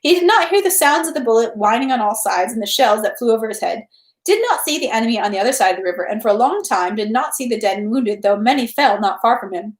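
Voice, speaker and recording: A woman reading a novel aloud as narration, in an animated, expressive way. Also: fairly high pitch, fast. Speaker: read-aloud host. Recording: echoey room.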